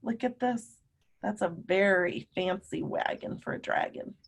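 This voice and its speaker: A woman teacher reading a picture book aloud to children, pausing to ask them simple, encouraging questions. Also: mid-range voice, creaky, breathy edge.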